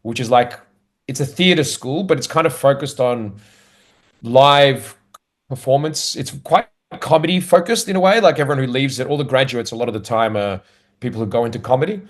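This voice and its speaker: A man's voice: mid-range voice, brisk. Easygoing Australian man, laughing a lot. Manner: easygoing, laughs often, self-deprecating. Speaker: guest, comedian. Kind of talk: casual conversational interview.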